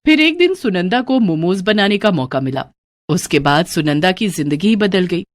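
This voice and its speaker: A woman narrating a scripted cartoon storybook in an expressive storytelling manner. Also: fairly low pitch, moderate pace.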